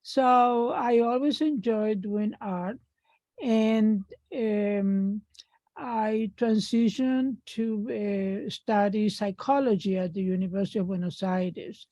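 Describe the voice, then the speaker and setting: An older woman from Argentina speaks with an Argentine Spanish accent over a video call, slow and unhurried. Her voice is dark and mellow, and the dry, dull lo-fi call audio flattens it. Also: medium pitch, no echo. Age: 60s. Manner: unhurried, pausing often with fillers. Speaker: artist guest. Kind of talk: remote panel talk.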